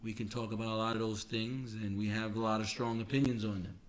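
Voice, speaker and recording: A man's voice: on the low side, level tone, fast talker. New man in his forties, chatting reflectively. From Queens, New York, United States. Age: 40s. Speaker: podcast co-host. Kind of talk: casual podcast conversation.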